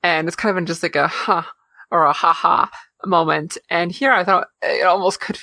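A chatty woman co-hosting a podcast, her voice sitting low. She laughs often and talks with fillers and restarts. The sound is dry and close, with no room around it. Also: fairly even intonation, normal speed, sharp tone, dry recording. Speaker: podcast co-host. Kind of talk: casual chat.

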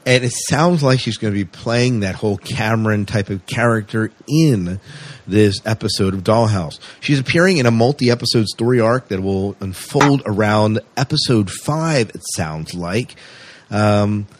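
A man's voice: lowish voice, measured pace, slight background noise. A man hosting a podcast, chatting casually and enthusiastically through entertainment news. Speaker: podcast host.

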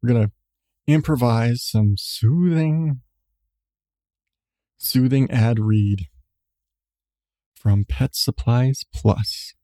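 A middle-aged man hosting his podcast with casual, profane rambling and frequent laughs in a calm voice. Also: mid-range voice, drawn-out words, pauses often, no room sound. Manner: profane, candid, laughs often. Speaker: podcast host. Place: seated at home on a creaky chair.

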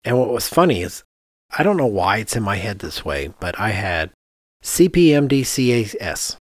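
A man's voice: lowish voice, very animated, normal speed, dry recording, studio quality. A man co-hosting a podcast, explaining things casually and conversationally, often thinking aloud. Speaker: podcast co-host, architect.

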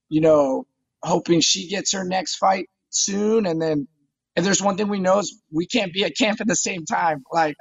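A man's voice: sits high, gravelly, breathy edge, no room sound, muffled recording. A man in his thirties, a fighter talking casually in an interview, eager and often repeating words as he starts a phrase. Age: 30s. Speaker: MMA fighter guest.

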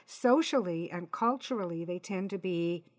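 A woman's voice: on the low side, normal speed. A middle-aged woman from Canada hosting a casual interview, chatty and agreeable, laughing easily. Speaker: host.

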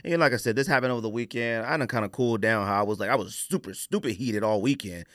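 A man's voice: lowish voice, fast talker, a bit bright, no room sound, clean studio sound. An American man hosting a casual podcast, giving opinions in an emphatic, slangy way and repeating phrases for emphasis. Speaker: podcast host.

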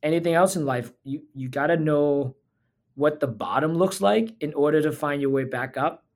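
A man's voice: on the high side, moderate pace. A man from Thailand, a physical therapist talking as a podcast guest in an earnest, reflective way. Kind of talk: casual interview talk.

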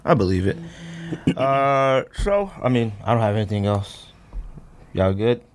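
A man's voice: lowish voice, animated, unhurried. A man hosting a casual podcast chat, asking questions in a slangy, inquisitive way. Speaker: podcast host.

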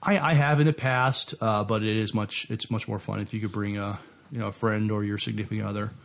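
A man co-hosting a podcast, talking casually in a halting, hesitant way. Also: on the low side, fairly even intonation, vocal fry. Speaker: podcast co-host. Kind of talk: casual chat.

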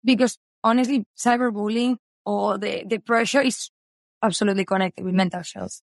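A woman's voice: medium pitch, steady tone, fairly quick, no echo. Spanish-accented woman in her 30s, eager guest author, slightly non-native phrasing, dry mic. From Spain. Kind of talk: casual interview chat.

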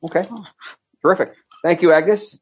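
A man's voice: on the high side, fairly even intonation, normal speed, breathy edge. A man co-hosting a talk program, asking questions casually and often restarting them. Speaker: co-host. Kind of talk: casual interviewing.

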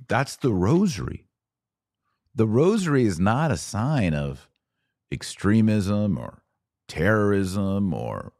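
Male podcast host, very low voice, dry close sound, earnest and conversational, turns indignant. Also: a little mellow, no echo. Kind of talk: livestream podcast reading viewer comments.

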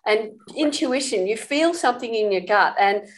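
A woman's voice: fairly high pitch, lively intonation, normal speed. A woman hosting a podcast interview, who often affirms her guest and echoes his words back. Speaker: podcast host.

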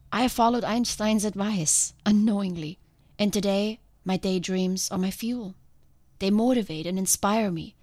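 Female podcast host, congested voice, calm and earnest reflective delivery, dry close-mic sound. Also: mid-range voice, dry recording. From outside the country she now lives in. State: congested from a sinus infection. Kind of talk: reflective solo podcast monologue.

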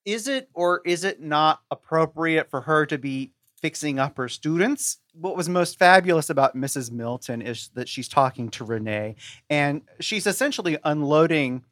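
A woman's voice: sits low, normal speed, no echo, clean studio sound. A woman chatting casually as a podcast guest, sharing emphatic opinions and peppering them with "like". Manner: animated opinions, frequent "like". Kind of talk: casual chat.

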